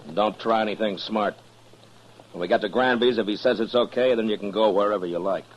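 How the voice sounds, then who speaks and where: Man acting a frontier lawman, low voice, terse, stern, dry authority, old-time radio western, slightly noisy recording. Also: a little fast, lo-fi sound, natural voice. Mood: commanding.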